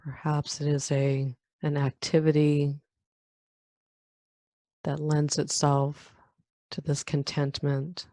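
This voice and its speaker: A woman leading a guided meditation in a calm, unhurried manner, leaving long pauses between phrases. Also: low voice, no echo. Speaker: meditation guide, yoga nidra teacher. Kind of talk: guided meditation, body scan.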